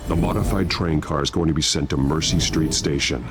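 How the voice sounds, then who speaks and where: A grim, brooding masked detective in a video game. His voice is extremely deep, clipped and analytical. Game music runs steadily underneath. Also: brisk.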